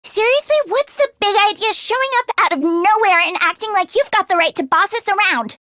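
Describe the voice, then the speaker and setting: A teenage girl mechanic from a video game, with a high, youthful voice and a close, dry sound. She is a friendly tomboy, earnest and a little anxious, and speaks casually and expressively. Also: animated, fairly quick, bright, forceful tone, dry recording, heavily acted. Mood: wary, indignant. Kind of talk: English video game voice acting.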